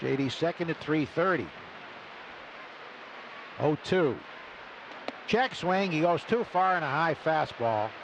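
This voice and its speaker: Higher-voiced baseball announcer, man, noisy broadcast. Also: expressive, slow, long pauses. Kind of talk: live radio sports commentary with sponsor reads. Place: stadium broadcast booth. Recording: noisy live ballpark radio broadcast.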